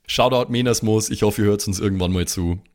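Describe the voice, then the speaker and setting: A man's voice: lowish voice, a little fast, darkish tone. A man improvising in a pen-and-paper audio play, switching between in-character banter and casual table talk, wry and playful, with the odd English phrase.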